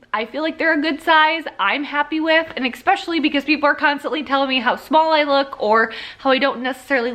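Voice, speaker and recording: A young woman talks through a casual vlog update in a bright, slightly high voice. She sounds a little congested and sniffly, and she rambles along with lots of fillers and easy asides. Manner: chatty, rambling, with frequent fillers and laughs. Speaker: vlogger.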